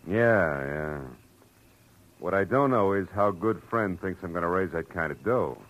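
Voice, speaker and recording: An actor playing a hard-boiled, wry private detective, clipped and dry, in 1940s radio drama style on a dull old recording. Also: male voice, low voice, expressive, unhurried. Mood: wary, wry. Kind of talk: 1940s radio acting with noir narration.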